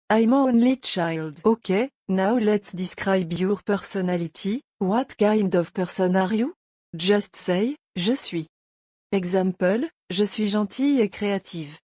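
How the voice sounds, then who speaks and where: A woman with a warm, mellow voice runs a scripted beginner lesson. She explains each point patiently and step by step. The recording is dry and close. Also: mid-range voice, no echo. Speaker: language teacher.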